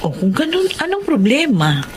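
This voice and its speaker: Motherly, probing parent of grown children, animated intonation, Filipino radio drama. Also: high voice, moderate pace, a little hiss or hum. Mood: questioning. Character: concerned, caring older mother of grown children.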